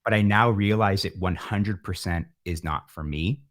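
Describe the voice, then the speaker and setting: A man speaking casually as an interview guest, with an animated, emphatic and playful way of talking. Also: deep voice, normal speed. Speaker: podcast guest, musician.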